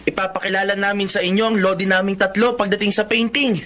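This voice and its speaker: Bright, high-voiced young Filipino man. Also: flat delivery, fast talker, a little hiss or hum. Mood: upbeat. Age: young adult. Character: eager twenty-year-old amateur artist and vlogger son. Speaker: lead actor and narrator. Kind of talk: Filipino radio drama acting.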